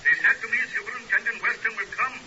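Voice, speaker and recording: An actor playing a deferential, grief-stricken college servant, halting and forever polite, in 1950s British radio drama style. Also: male voice, high voice, pushed and bright, distant mic. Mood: distressed, deferential. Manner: halting, deferential, repeatedly saying sir. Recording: muffled, noisy, crackly old radio recording.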